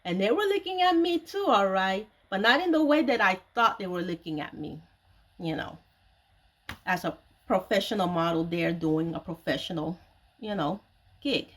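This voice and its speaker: A woman's voice: medium pitch, fairly bright tone. A woman in her forties hosts a personal storytelling video. She speaks candidly and confidingly to her audience. Key phrases are stressed and often repeated for emphasis. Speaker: host of an online video series, author telling her own story.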